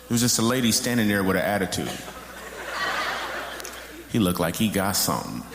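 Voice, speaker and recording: A man's voice: deep voice, very animated, a little fast. Male stand-up comic in echoey hall. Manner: conversational storytelling, acting out characters. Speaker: stand-up comedian. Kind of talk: stand-up comedy routine. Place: live comedy stage in a hall. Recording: echoey hall with clear noise.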